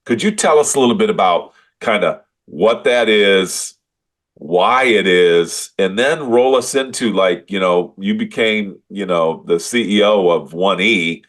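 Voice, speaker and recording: A man's voice: fairly low pitch, a little slow, fairly bright tone. A man hosting a podcast interview, asking rambling, hesitant questions full of fillers. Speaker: podcast host.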